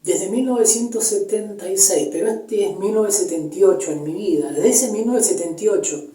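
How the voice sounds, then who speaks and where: Mellow-voiced Argentine man, echoey distant recording. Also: sits high. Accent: Argentine, Buenos Aires area. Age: 50s. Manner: halting, reflective, pauses to search for words. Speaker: interviewee giving personal testimony. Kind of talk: personal storytelling, unscripted recollection. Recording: echoey room, distant microphone.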